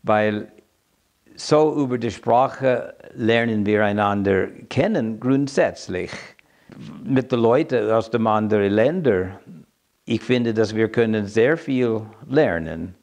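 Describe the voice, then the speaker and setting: A man in his seventies with an American accent and English as his first language, giving a reflective personal account and haltingly searching for words. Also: fairly low pitch, darkish tone. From United States. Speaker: interviewee telling his migration story. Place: quiet room indoors.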